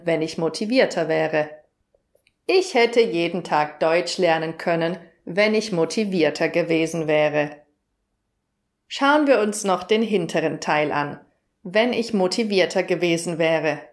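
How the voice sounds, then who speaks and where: A German woman teaching grammar in a lesson video, explaining clearly and asking the viewer questions. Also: fairly low pitch.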